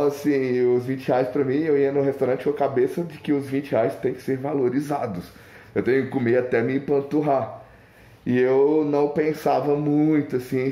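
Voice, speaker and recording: A young man from Minas Gerais with a mineiro accent talks through his thoughts in a loose, hesitant vlog monologue. His voice is mellow and soft-edged, and he circles back with fillers and restarts. The room around him rings noticeably. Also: medium pitch, reverberant. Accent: Mineiro Brazilian Portuguese. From Minas Gerais, Brazil. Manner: hesitant, thinking aloud with many restarts and fillers. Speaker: vlogger. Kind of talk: rambling casual monologue.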